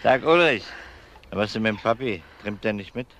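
An actor playing an easygoing, polite but probing police detective in a German crime radio drama, casual and understated. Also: male voice, on the low side, animated, brisk, a bit bright, slight background noise.

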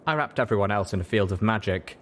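A man's voice: lowish voice, animated, fast, fairly bright tone. A man reading scripted story narration as an audiobook narrator. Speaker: audiobook reader.

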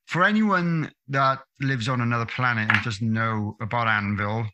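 A man's voice: medium pitch, highs cut off, very noisy. A man in his thirties hosting a podcast over a video call, chatty and upbeat. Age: 30s. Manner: upbeat, chatty, quick laughs. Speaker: podcast host. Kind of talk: friendly podcast interview. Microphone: webcam video call.

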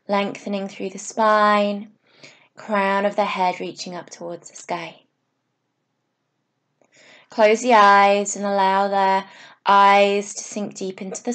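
A woman yoga teacher leading a guided meditation, giving soothing, unhurried instructions in short phrases with pauses. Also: mid-range voice.

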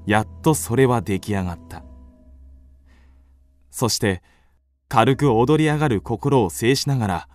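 A man's voice: lowish voice, darkish tone. Moody young man, swooping dramatic delivery. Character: moody, melancholic young man with restless, impish fancies. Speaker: actor narrating a radio drama. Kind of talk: dramatic first-person literary narration.

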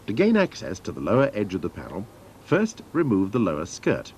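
A man's voice: fairly low pitch, wildly swooping pitch, fairly quick, a little mellow, a little hiss or hum. A man narrating scripted instructions for a training film in a formal, methodical manner.

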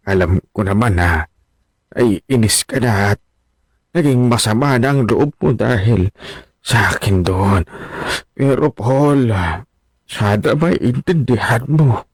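An actor playing an ailing older father in a radio drama, halting, weary and imploring. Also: medium pitch, no echo, studio quality. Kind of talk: Filipino radio drama acting.

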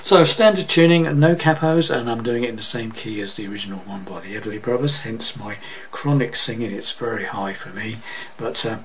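A man's voice: lowish voice, a little fast, slight background noise. A man giving a casual guitar lesson in a small room, often checking in with "okay?" as he explains the chords. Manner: chatty, says "okay?" often, sings snippets over strumming. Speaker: guitar teacher. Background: his own acoustic guitar.

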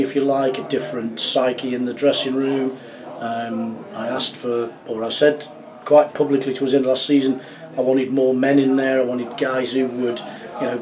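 A middle-aged man, a football manager, giving a measured, reflective post-match interview in a small club office, with many fillers and restarts. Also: mid-range voice, far from the mic. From England.

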